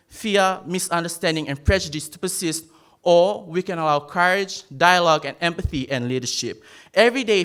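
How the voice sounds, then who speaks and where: A man's voice: on the high side, bright, forceful tone. A young man from Fiji delivering an emphatic, rhetorical competition speech to an audience. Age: young adult. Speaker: youth orator.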